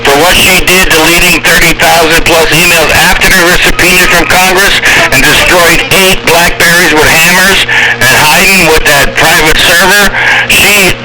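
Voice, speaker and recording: A man's voice: a little high, fairly even intonation, moderate pace. A man of sixty-six with an American accent, chatting casually and bluntly over a CB radio. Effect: CB radio transmission. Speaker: CB radio operator, military veteran. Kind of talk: casual, opinionated radio chat. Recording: very noisy CB radio reception. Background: loud radio static and noise.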